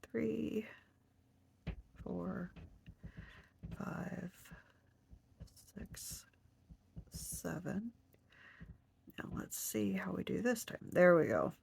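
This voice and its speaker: A woman's voice: fairly low pitch, drawn-out words, vocal fry, long pauses, a little mellow, a little hiss or hum. A woman crocheting on camera, a little stuffed up, chatting to herself and counting stitches under her breath. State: stuffed up nose. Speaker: crochet vlogger. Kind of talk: casual hands-on crafting demo.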